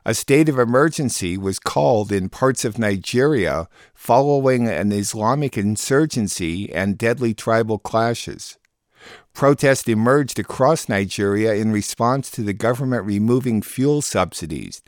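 Measured male radio host, dry sound. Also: lowish voice, lively intonation, dry recording. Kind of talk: scripted news digest narration.

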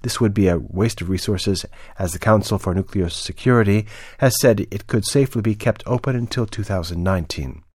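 A male newsreader delivers scripted radio news in a lowish voice. The sound is dry, with almost no room around the words. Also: brisk, few pauses, a little mellow, slightly rough, no room sound.

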